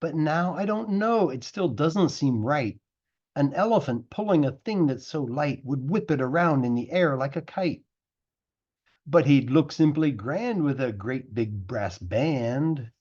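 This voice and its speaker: A man reading a rhyming picture book aloud as a teacher would, with animated, theatrical delivery and drawn-out words. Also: on the high side, lo-fi sound. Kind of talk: expressive rhyming storybook narration.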